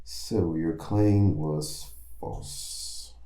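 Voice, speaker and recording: A man hosting a playful comedic interview, speaking in theatrical, mock-formal phrases with drawn-out hums. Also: very deep voice, warm tone, echoey room. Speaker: talk show host.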